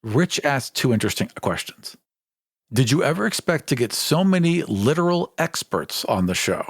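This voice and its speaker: A man's voice: medium pitch, big pitch swings, moderate pace, breathy edge, dry recording, studio quality. Man chatting casually as podcast co-host.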